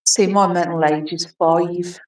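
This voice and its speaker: A woman's voice: lowish voice, unhurried, recorded from a distance. Chatty woman in her forties, slightly off-mic. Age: 40s. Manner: chatty, rambling, excitable. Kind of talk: casual chat.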